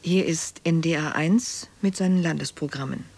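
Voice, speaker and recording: Woman, radio announcer, husky voice, formal scripted delivery. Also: deep voice, normal speed, darkish tone.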